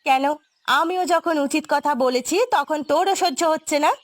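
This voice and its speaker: A woman's voice: high-pitched, normal speed, natural voice. A woman playing a spiteful, domineering stepmother in a cartoon drama, with a scornful, emphatic delivery. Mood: angry, threatening.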